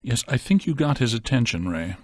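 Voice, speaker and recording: Deadpan brainy ghost-hunting scientist man, dry recording. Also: mid-range voice, brisk, no echo. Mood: deadpan, analytical. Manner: deadpan, precise technical wording. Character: brainy deadpan male scientist ghost hunter in a video game. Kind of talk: scripted video game voice acting.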